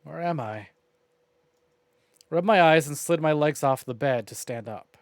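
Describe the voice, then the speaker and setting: A man's voice: fairly high pitch, a little slow. A male streamer reading a visual novel aloud, with playful, wry asides. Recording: game music behind the voice. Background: clear game music.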